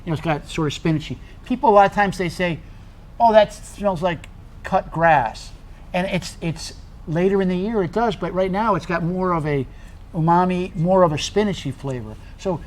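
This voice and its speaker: A man's voice: high voice, lively intonation, normal speed. A middle-aged man, a tea blender, chatting at a tasting in a hesitant way with many fillers. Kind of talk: casual conversation at a tasting. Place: tasting room in a tea factory. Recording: faint room noise.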